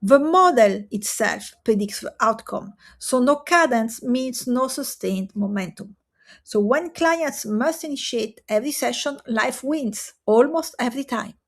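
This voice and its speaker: Higher-voiced woman. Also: animated. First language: Italian and French. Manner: earnest, emphatic, with rhetorical pauses. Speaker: business coach for coaches. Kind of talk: direct-to-camera talk.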